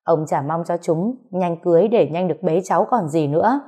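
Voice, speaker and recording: An anxious, fiery older mother scolds and fusses in an audio storybook, as a woman narrator voices her. Her tone is low and mellow, rising sharply when she is upset. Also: fairly quick, natural voice. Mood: eager fussing. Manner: fussing, scolding shrilly.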